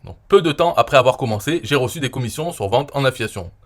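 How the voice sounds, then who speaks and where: French guy in his 40s just chatting, kinda rambly, lots of euh, trails off quiet sometimes. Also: mid-range voice, animated, fast talker. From France. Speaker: online marketer reviewing his own interview. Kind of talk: casual explanatory monologue.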